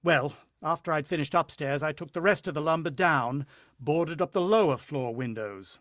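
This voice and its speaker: A man's voice: a little high, normal speed, fairly bright tone, dry recording, clean studio sound, lightly acted. An actor playing a worried, watchful country father who also narrates, in the style of a vintage radio drama. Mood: grave, brooding.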